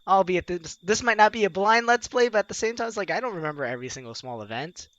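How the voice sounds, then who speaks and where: A man's voice: high-pitched, fast talker, muffled recording, slight background noise. A young man hosting a let's play, casually commenting and reading game dialogue aloud in a playful way. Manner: animated, playful. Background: game music.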